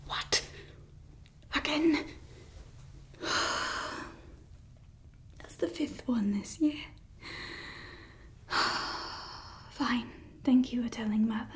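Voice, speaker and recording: A woman's voice: fairly high pitch, very slow, long pauses, darkish tone. A young woman playing a grandiose evil woman scientist in an ASMR roleplay, whispering theatrically into a close mic in a small room. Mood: exasperated. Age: young adult. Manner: whispered, theatrical, self-important. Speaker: ASMR creator. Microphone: close mic at face level.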